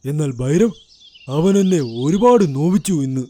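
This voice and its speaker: A man voicing an aggrieved, respected old grandfather elephant who complains in an animated fairy tale. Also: high voice, moderate pace. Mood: hurt, indignant. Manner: indignant, complaining. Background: music.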